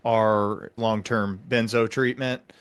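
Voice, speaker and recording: A man hosting a podcast as a clinician, giving conversational clinical commentary in a measured, explanatory way. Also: fairly low pitch, fairly even intonation, clean tone, dry recording.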